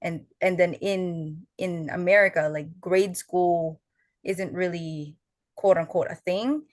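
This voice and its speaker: A woman's voice: lowish voice, fairly even intonation, slow pace, a little mellow, dry recording, studio quality. A young woman whose first language is Tagalog, earnestly explaining her oral history work in a conversational interview, with some fillers. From Filipino family.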